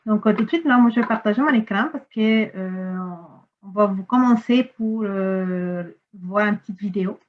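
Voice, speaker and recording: A woman's voice: medium pitch, moderate pace. Woman presenting over a tinny call. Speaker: director of an organization, presenter. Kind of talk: informal webinar presentation. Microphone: video call. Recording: thin phone-like online call audio.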